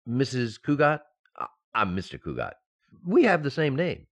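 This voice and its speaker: An actor playing a naive, excitable expectant husband in an old-time radio sitcom, earnest and flustered. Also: male voice, mid-range voice, moderate pace.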